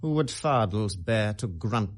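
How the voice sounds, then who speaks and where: An actor with a British accent playing a bitter, volatile young prince in classical Shakespearean radio drama, in theatrical, deliberate verse. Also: male voice, lowish voice, expressive. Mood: brooding, hushed. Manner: theatrical, deliberate verse delivery swinging to outbursts.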